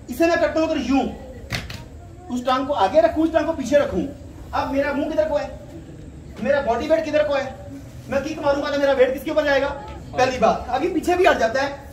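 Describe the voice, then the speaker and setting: Middle-aged male karate instructor, high-pitched commanding delivery, recorded far off in an echoey space. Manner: commanding, explanatory, questioning. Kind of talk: live class instruction with drill counts and commands. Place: open concrete courtyard dojo at night. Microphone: distant camera microphone. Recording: echoey, distant, noisy courtyard ambience.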